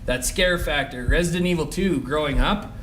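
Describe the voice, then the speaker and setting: A man's voice: on the high side, normal speed, a bit bright, slight background noise, low-quality recording. A young man co-hosting a casual podcast, agreeable, chatty and teasing his friends. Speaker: podcast co-host. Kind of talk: casual chat.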